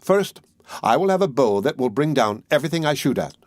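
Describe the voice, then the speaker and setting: A man's voice: medium pitch, very animated, a little fast, dry recording, studio quality, natural voice. A man voicing an honest, merry countryman in a fairy tale, plain-spoken in manner. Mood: pleased. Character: honest, kind male countryman, merry and bold. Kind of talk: fairy tale audiobook character voice.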